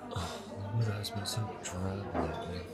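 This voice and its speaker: A man's voice: deep voice, steady tone, moderate pace, loud music behind the voice, close to an everyday voice. A man playing a brooding, formal guest in a fantasy audio drama, speaking in florid, dreary phrases. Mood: gloomy.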